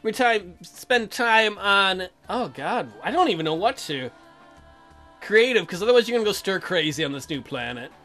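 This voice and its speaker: A man with a fairly high voice gives casual let's play commentary. He is irreverent and thinks aloud as he goes. Game music plays behind him. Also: expressive, normal speed, sharp tone.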